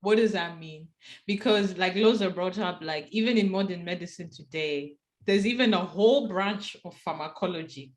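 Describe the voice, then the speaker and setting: A woman's voice: lowish voice, moderate pace. A woman co-hosting a casual health discussion podcast, chatty and leaning on filler words as she talks. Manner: chatty, leans on "like". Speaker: podcast co-host.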